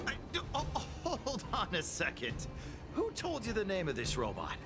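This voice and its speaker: An actor playing a cowardly, blustering young thief in an anime-style game, stammering and theatrical. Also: male voice, high-pitched, huge pitch swings, moderate pace, over loud music. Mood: rattled bluster. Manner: stammering, theatrically swaggering then pleading.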